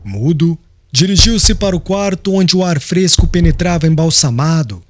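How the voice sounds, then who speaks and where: Casting: male audiobook narrator, slightly high voice, very varied intonation, solemn dramatic reading over soft music.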